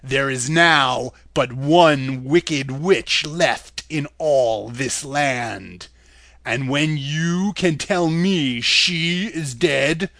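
A man voicing a stern, commanding wizard's head, speaking slowly and loudly. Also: mid-range voice, dry recording, full character voice. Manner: slow, loud, commanding. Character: Oz as a great head, male, booming and stern.

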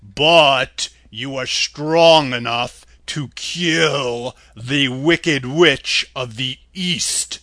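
A man voicing a stern, commanding wizard's head, speaking slowly and loudly. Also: mid-range voice, no room sound, studio quality. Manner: slow, loud, commanding. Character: Oz as a great head, male, booming and stern.

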